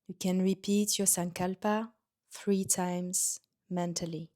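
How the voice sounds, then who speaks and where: A woman guiding a yoga nidra meditation in a gentle, soothing manner, leaving pauses between short instructions. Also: lowish voice, steady tone. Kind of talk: guided meditation.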